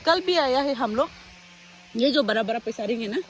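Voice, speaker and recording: A chatty young woman vlogs on a phone as she walks through rural countryside, her voice a touch high. She swings between loud exclamations and quiet asides. A faint outdoor hiss sits under her voice. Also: lively intonation, moderate pace, rough recording. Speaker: vlogger. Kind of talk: casual vlog narration while walking outdoors. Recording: faint outdoor background noise.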